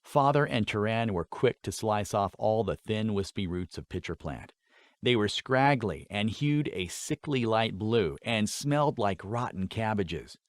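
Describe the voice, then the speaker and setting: A man's voice: lowish voice, expressive, unhurried, dry recording. A man reading scripted fantasy fiction as a dramatic audiobook narrator.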